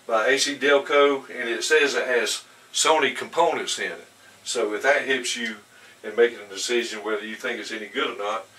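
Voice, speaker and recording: A man giving a casual hands-on product demonstration in a folksy, rambling, halting way. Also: medium pitch, sharp tone. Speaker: product reviewer. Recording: distant mic with faint background noise.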